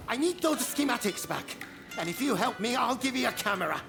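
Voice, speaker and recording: Excitable eccentric inventor, wildly swooping voice. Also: male voice, extremely high pitch, fairly quick, vocal fry, over music, exaggerated voice. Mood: nervous, scheming. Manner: flustered, theatrical, stammering with excitement. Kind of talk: video game cutscene acting.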